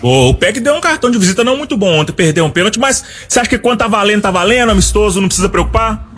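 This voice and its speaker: A man's voice: high voice, animated, rapid-fire, fairly bright tone, faint music behind. A man reporting from the street and interviewing a fan, chatty and upbeat.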